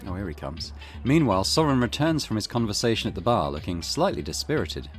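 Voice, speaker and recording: A man's voice: lowish voice, very animated, brisk. A man hosting a let's play, reading visual novel text aloud expressively with casual comments. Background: loud game music.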